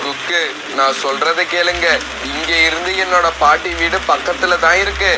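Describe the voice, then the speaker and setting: A man performs a stubborn young male crow for an animated children's story. He is high-pitched, whiny and dramatic, quick to take offence. There is clear ambient noise behind him. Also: lightly acted. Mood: explaining. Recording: noisy track with ambient background.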